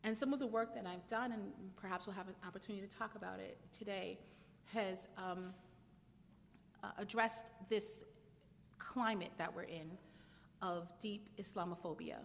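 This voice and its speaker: Woman, lawyer on a panel, earnest, measured, fillers, mid-range pitch, reverberant hall. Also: vocal fry. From United States. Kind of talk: conference panel remarks. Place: conference hall. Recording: long reverb with faint noise in a hall.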